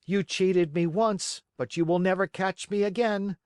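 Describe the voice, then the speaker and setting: A man voicing an eager young wooden marionette boy with a bright, earnest voice. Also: high voice, fairly even intonation, unhurried, smooth, no room sound, studio quality, voice pushed up. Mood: scornful.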